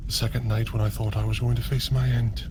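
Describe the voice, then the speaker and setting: A man's voice: mid-range voice, little pitch movement, fast talker, background noise, close to an everyday voice. A man playing a troubled, suspicious townsman in improvised virtual reality roleplay, earnest and brooding as he argues. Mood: pensive. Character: troubled, suspicious male townsman hunted by supernatural terror.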